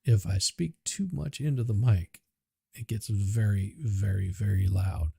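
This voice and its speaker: A male livestream host talks in an unhurried, chatty way, half to himself as he works. His voice is heavily gravelly and a bit husky. The sound is dry and close, through a cheap USB microphone. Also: on the low side, warm tone, dry recording. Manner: unhurried, chatty, mutters while working. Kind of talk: casual livestream chat while adjusting audio. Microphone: cheap USB mic.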